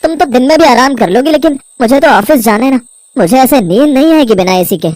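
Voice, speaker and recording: A woman's voice: sits high, animated, a little fast, darkish tone, no echo, clean studio sound. A woman voicing a stubborn young husband in a cartoon, dismissive and insistent.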